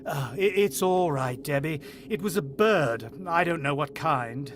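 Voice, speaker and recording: Guy playing a broody uneasy family man in an old radio play, kinda high voice, dramatic pauses, bit of noise. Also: animated. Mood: shaken. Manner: musing, dramatic, halting pauses. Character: brooding, uneasy husband and father narrating a bird attack. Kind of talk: vintage radio drama acting.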